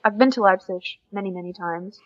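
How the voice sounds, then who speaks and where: A woman's voice: mid-range voice, fairly quick. Dull lo-fi recording, young American woman chatting. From Oklahoma, United States. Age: young adult. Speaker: podcast guest, exchange student. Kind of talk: casual interview chat. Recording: dull lo-fi audio.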